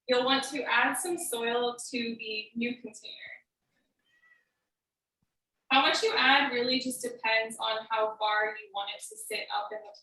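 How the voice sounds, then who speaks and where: A woman's voice: on the high side, pushed and bright. A woman giving a prepared how-to speech as a student, explaining step by step in a casual way. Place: indoor room on a recorded video meeting. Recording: roomy, echoey, distant microphone.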